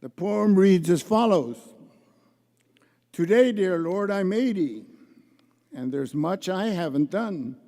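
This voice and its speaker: Older man, unhurried heartfelt honoree speech, long arena echo. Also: high voice, animated, dark tone. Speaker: award honoree, judge. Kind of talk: acceptance speech reciting a poem. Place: large indoor arena gala. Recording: echoey large arena.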